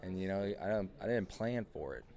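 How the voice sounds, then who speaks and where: Deep-voiced young man rambling casually. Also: lively intonation, a little fast, a little mellow. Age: 20s. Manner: loose, meandering, swears casually. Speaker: podcast host. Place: airport.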